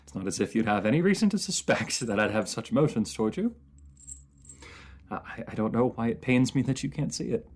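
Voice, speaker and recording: A man's voice: medium pitch, expressive, brisk, a little mellow, breathy edge. A man playing an anguished, proud enemy ruler in a fantasy audio roleplay, speaking in formal, theatrical phrases that halt with emotion. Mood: anguished, lovesick. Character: captive enemy ruler, anguished, proud, lovesick, under truth potion.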